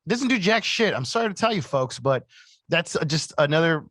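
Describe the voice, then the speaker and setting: A man with a high-pitched voice co-hosting a casual podcast from a radio studio, rambling and laughing often. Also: sing-song, a little fast, a bit bright, dry recording. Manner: laughs a lot, rambling, self-deprecating. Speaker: podcast co-host. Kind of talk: casual chat. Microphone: studio mic.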